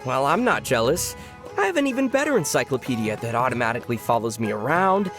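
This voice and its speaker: A woman's voice: very deep voice, sing-song, fast, music in the background. A woman voicing a young male adventurer in a video game, good-natured and wry, with a playful teasing manner. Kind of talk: video game character voice lines.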